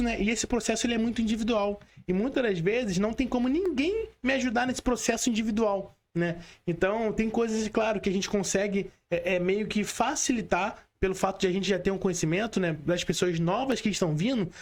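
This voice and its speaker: A podcast host with a Brazilian accent talking casually and often adding tag questions to seek agreement. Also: mid-range voice. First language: Portuguese.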